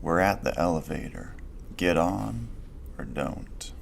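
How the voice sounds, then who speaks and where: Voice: low-pitched, expressive, slow, creaky, slight background noise, full character voice. A performer playing a stern, obedient sentinel guard in an audio drama, terse and formal. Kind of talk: scripted audio drama acting.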